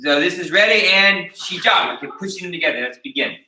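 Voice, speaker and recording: A man's voice: high-pitched, expressive, fast talker, pushed and bright, a little hiss or hum. An American man teaching a taekwondo class, explaining and checking in with his students after every point. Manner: instructive, checks in with "okay?" after each point. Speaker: taekwondo instructor. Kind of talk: teaching a martial arts class. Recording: echoey room recorded from a distance.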